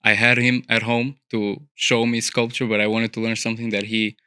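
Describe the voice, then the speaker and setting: A man's voice: mid-range voice, flat delivery, normal speed, bright, forceful tone, clear, resonant tone, no echo, clean studio sound. A young man from Albania, an architecture student, chatting as a podcast guest in a thoughtful way with many fillers and restarts. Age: young adult. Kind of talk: casual interview conversation. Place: studio.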